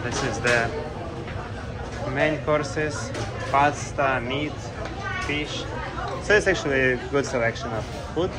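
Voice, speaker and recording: A man giving a casual walking tour of a cruise ship on a handheld phone, speaking simple, halting English with fillers. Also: medium pitch, a loud crowd. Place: outdoor decks and indoor restaurants of a cruise ship. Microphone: handheld phone camera. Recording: loud wind and ship noise. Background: loud wind and ship ambience.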